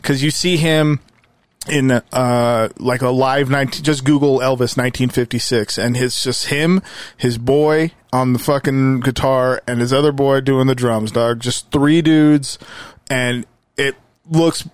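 A man's voice: mid-range voice, fairly even intonation, a little slow, dry recording. A man hosting a podcast in a casual chat, swearing often and rambling with fillers and restarts. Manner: loose and profane, rambling with fillers. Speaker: podcast host; musician.

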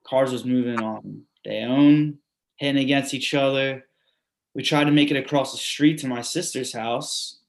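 A man's voice: mid-range voice, steady tone, moderate pace, creaky. Man urgently recounting a flood. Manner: urgent, repetitive storytelling. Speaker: reader performing a monologue. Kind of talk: readers theatre monologue.

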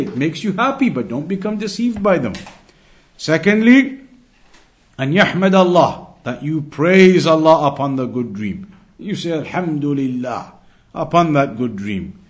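Man teaching a religious lesson, higher-pitched voice. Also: lively intonation, moderate pace. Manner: didactic, repeats key phrases for emphasis. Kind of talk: religious lesson, explaining point by point.

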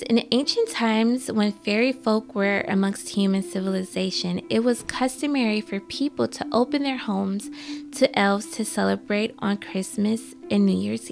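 A hoarse woman hosts a chatty folklore show, her voice heavily gravelly with fry. She reads her notes, then drops in quick asides. Faint music plays softly behind her. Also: mid-range voice. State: hoarse from a cold. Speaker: podcast host. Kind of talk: casual folklore storytelling.

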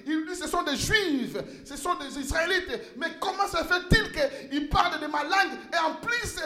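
A male pastor preaching a sermon in a church, impassioned, rising to shouts and stumbling over repeated words. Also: very high voice, normal speed, roomy sound, noisy background.

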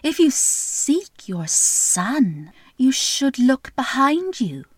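A woman voicing a small, wise and sly snake in the woods, speaking slowly with a hushed, cryptic, drawn-out manner. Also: sits high, sing-song, breathy edge, dry recording, voice pushed up, heavily acted.

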